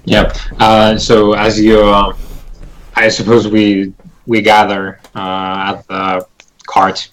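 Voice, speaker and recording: A man with a fairly low voice plays a tabletop game, talking very slowly. He halts often as he works out what his character does, keeping it casual. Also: completely flat delivery, rough recording. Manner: hesitant, halting, thinking aloud. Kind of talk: casual in-character action description.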